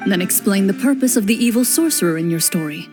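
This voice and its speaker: A woman voicing a composed, stern young female duelist in a game cutscene, speaking in a calm, measured, quietly commanding way. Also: medium pitch, music in the background, natural voice. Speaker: game character, a duelist hunter. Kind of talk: video game cutscene voice acting.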